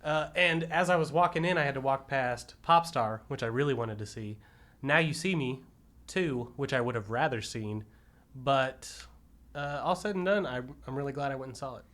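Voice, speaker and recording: Casual mid-pitched man chatting. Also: moderate pace, a bit bright. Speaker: podcast panelist. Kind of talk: casual movie review chat.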